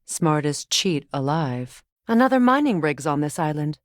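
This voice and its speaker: An actress voicing a stern, wise warrior goddess in a video game, speaking with measured, formal diction. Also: female voice, low voice, big pitch swings, dry recording, clean studio sound. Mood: grave, probing. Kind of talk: dramatic video game voice acting.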